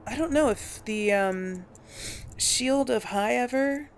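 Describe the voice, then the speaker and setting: A woman's voice: on the high side, slow pace, slight background noise. A woman giving casual gameplay commentary as a host, hesitantly thinking aloud. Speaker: host of a game role-play series.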